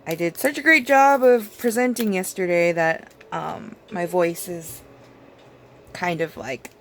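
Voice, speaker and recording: A woman vlogger losing her voice, her speech hoarse and raspy as she chats casually through a nail routine with plenty of fillers. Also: on the low side, measured pace, slight background noise. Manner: rambling, chatty, with many fillers and small laughs. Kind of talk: casual chat while doing her nails.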